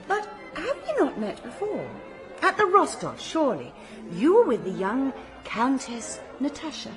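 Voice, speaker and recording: Coquettish, lilting aristocratic countess. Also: female voice, high voice, very animated, a little slow, over music. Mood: flirtatious. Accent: British. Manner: coquettish, poised. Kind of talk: radio drama acting.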